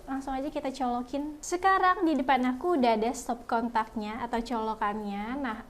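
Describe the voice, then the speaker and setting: High-pitched young woman reviewing products. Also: slight background noise. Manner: chatty, informal, addresses viewers often. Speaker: product review video host. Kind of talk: casual unboxing and product review chat.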